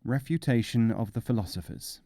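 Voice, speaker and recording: A man narrating folk tales as an audiobook reader, in a measured, expressive storytelling manner. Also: medium pitch, dry recording, studio quality.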